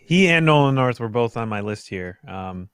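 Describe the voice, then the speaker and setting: A man's voice: mid-range voice, animated, moderate pace, fairly bright tone, clear, resonant tone, no echo, studio quality. A man, telling things loosely and informally as a podcast panelist. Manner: loose, informal storytelling with swearing. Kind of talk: casual chat.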